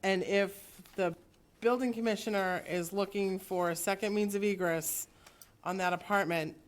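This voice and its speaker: A woman's voice: mid-range voice, fairly even intonation, normal speed. A woman on a town planning board, hesitantly laying out her concerns about an application. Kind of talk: raising concerns at a public meeting.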